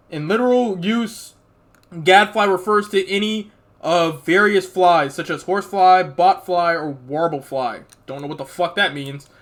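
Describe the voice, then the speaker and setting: Streamer, male, higher-pitched and bright, loose profane slang. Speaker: streamer reacting to a show. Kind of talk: casual reaction commentary.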